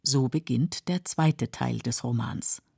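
A woman narrating a scripted literary documentary in a measured, explanatory way, with a husky, creaky voice. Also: a bass voice, dark tone, no echo, studio quality. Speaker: narrator of literary radio feature.

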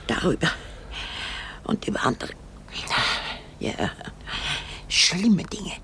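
An actress playing an elderly, haunted woman in a radio drama, rambling and brooding with halting, repetitive speech. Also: lowish voice, big pitch swings, a bit bright, slight background noise, full character voice. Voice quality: husky, breathy, creaky.

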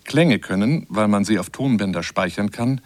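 A man reading a scripted radio essay in a measured, articulate and explanatory manner. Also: lowish voice, no room sound. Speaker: radio feature narrator. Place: radio studio.

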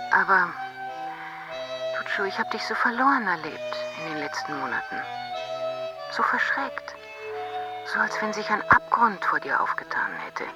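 A woman's voice: on the low side, natural voice, rough recording. An actress playing a tender, worried wife who confides hesitantly in her husband on a late-night call, in a German radio drama. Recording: music behind the voice.

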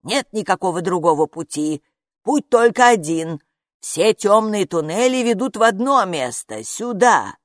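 A woman's voice: on the low side, sing-song, moderate pace, no echo, clean studio sound. Woman voicing a grumbling, scolding gatekeeper. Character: grumbling, fretful female gatekeeper. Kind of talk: audiobook character reading.

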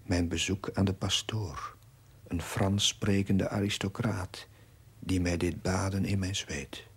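Earnest young chaplain reading his diary, really breathy and husky, solemn tv drama voice-over, slow. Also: deep voice, flat delivery, heavily acted. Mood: solemn, reflective. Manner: measured, solemn, formal literary diction. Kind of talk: scripted dramatic voice-over narration.

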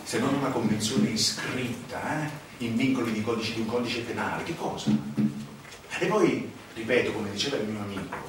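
An actor playing a sardonic storyteller in a theatrical monologue, declaiming with dramatic pauses and rhetorical questions. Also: male voice, on the low side, lightly acted. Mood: sardonic, insinuating. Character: sardonic male storyteller recounting a dark financial scandal. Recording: echoey hall, distant mic, noisy.

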